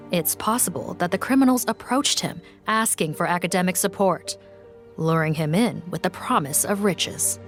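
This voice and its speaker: An actress playing a calm, composed officer in charge in a video game, speaking with quiet authority. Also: female voice, mid-range voice, close to an everyday voice.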